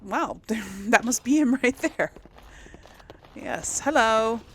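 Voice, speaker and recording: A woman's voice: a little high, slow. A woman giving casual let's play commentary as host of a gaming video, chatty and thinking aloud as she goes.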